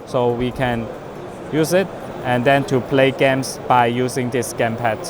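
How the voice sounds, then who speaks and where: A man who is not a native English speaker gives an unscripted product demonstration as a company representative, speaking haltingly with broken phrasing. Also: medium pitch, steady tone. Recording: noisy trade show floor.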